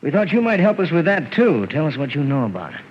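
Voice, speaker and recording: An actor playing a considerate but blunt homicide detective, matter-of-fact and clipped, in 1940s radio drama style. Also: male voice, on the high side, very animated, fast. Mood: businesslike. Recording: muffled, noisy old radio recording.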